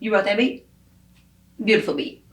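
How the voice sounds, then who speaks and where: A woman's voice: mid-range voice, fairly even intonation, moderate pace, over a noisy crowd. An American woman interviewing in casual, slangy, emphatic talk, often repeating catchphrases. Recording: echoey, roomy sound.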